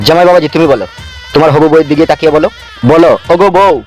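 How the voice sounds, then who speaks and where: A man's voice: fairly high pitch, flat delivery, very fast, faint music behind, lightly acted. A man voicing a bossy, scolding wedding priest in a comedy cartoon, chanting and instructing.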